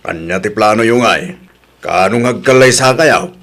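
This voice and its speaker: An actor playing a caring elderly father in a radio drama, gently admonishing his grown son. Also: on the low side, normal speed. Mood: concerned.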